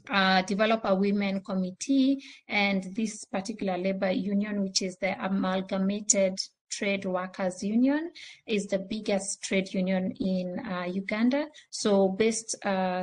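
A woman's voice: medium pitch, a little mellow, rough recording. A woman from East Africa with an East African accent, a native speaker of a local language, giving explanatory answers as a panelist. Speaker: panelist, transport nonprofit leader.

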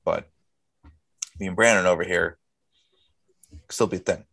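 Deep-voiced grumbling young man. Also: fairly even intonation, unhurried, vocal fry, sharp tone, husky. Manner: blunt, grumbling, swears freely. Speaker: podcast co-host. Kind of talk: casual chat.

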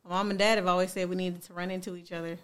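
A woman with a deep voice, co-hosting a podcast and chatting in a teasing, blunt, slangy way. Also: flat delivery, racing, clear, resonant tone. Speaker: podcast co-host. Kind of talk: casual chat.

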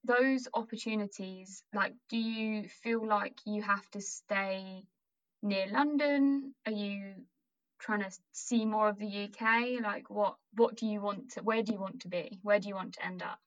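A woman's voice: mid-range voice, fairly even intonation, measured pace, muffled recording. A young woman interviewing a friend in a casual chat, asking long, rambling, curious questions.

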